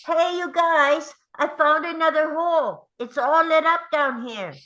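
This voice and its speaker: Woman as an excitable high-pitched boy inventor. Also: rough recording. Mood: excited shouting. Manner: drawn-out, calling out. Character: excited young boy inventor shouting from a pit. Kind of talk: table read of a film script.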